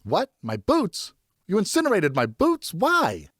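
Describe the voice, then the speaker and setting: A man voicing an earnest, fussy married French marquis in audiobook dialogue, with an expressive, plaintive manner. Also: high voice, a little slow, husky, dry recording, studio quality, pitched above the performer's own voice, heavily acted. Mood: aghast, plaintive.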